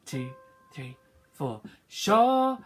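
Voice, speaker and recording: A woman's voice: sits low, sing-song, drawn-out words, husky, faint music behind. A woman teaching children a song, speaking encouragingly and singing short phrases for them to repeat. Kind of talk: online singing lesson, call and response.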